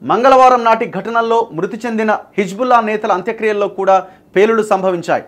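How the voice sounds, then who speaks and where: A man's voice: high-pitched, a little fast. A man with a Telangana accent reading news and explaining it emphatically, putting rhetorical questions to viewers. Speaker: news presenter.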